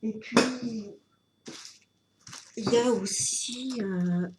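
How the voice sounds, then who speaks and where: A woman with a cold giving an unscripted tarot reading, talking in a halting way with many fillers and restarts. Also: fairly high pitch, very noisy. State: has a cold. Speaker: tarot reader.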